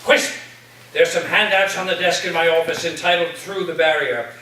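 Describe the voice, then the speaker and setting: A man's voice: a little high, fairly even intonation, moderate pace, bright, forceful tone. A man playing an eccentric, commanding professor who speaks formally and theatrically, like a captain addressing his crew, in a staged reading. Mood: commanding, earnest. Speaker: actor in a staged play reading. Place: live staged reading in a reverberant room. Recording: echoey room, distant mic, faint noise.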